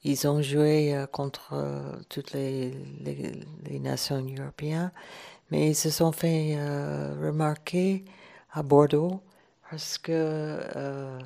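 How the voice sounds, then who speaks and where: A woman's voice: low-pitched, little pitch movement, slow pace, gravelly, mellow. A woman from Ireland, speaking French as an as a radio guest, hesitant and searching for words. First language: English. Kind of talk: relaxed radio chat.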